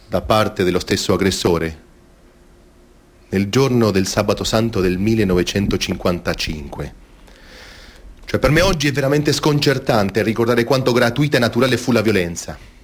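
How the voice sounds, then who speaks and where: An actor playing a grave former seminarian giving wounded testimony in a solemn, measured, theatrical monologue, in speech. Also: male voice, lowish voice. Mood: grave, pained.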